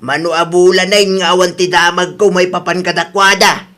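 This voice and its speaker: Grumbling, high-voiced older husband played by a man. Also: little pitch movement, normal speed, bright, forceful tone. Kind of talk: amateur radio drama acting.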